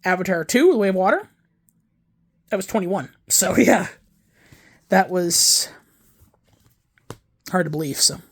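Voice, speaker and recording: A man's voice: high voice, lively intonation, a little slow, long pauses. A man in his twenties chatting casually in a show-and-tell vlog about his film disc collection, rambling and digressive, often correcting himself mid-sentence. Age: 20s. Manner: enthusiastic fan talk. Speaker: home video collector and YouTuber.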